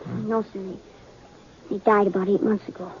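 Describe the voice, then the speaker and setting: A woman's voice: mid-range voice, level tone, normal speed, a little mellow, a little hiss or hum, natural voice. A woman playing a hesitant, plain-spoken orphaned runaway boy in a radio western. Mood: sullen, hurt. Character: orphaned young runaway boy, sullen yet curious. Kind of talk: 1950s radio western acting. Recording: dull vintage radio recording.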